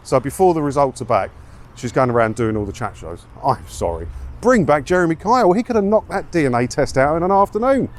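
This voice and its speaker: A man's voice: fairly high pitch, big pitch swings, brisk. An English man chatting casually and giving his opinions as a video blogger, full of rhetorical questions, talking as he walks outdoors. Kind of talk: casual walk-and-talk commentary on news stories. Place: outdoors, walking around a seaside town. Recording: outdoor street noise, traffic.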